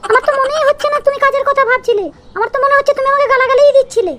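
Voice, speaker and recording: A woman playing a bossy, scolding village wife in an amateur comedy cartoon, nagging and commanding through a squeaky pitched-up effect. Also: very fast, heavy background noise. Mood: demanding, suspicious.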